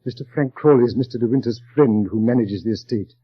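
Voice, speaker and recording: An actor playing a deferential, kindly old butler in a 1930s radio drama, formal and courteous with a husky voice. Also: male voice, mid-range voice, fast, natural voice. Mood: deferential, polite. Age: older. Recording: dull vintage radio broadcast.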